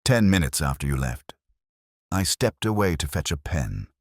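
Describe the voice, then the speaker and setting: A man's voice: rumbling low, very animated, normal speed, vocal fry, dry recording, clean studio sound, exaggerated voice. A man voicing an agitated village committee woman in a storybook mystery, prim, indignant and emphatic. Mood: indignant, flustered. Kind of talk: storybook character dialogue.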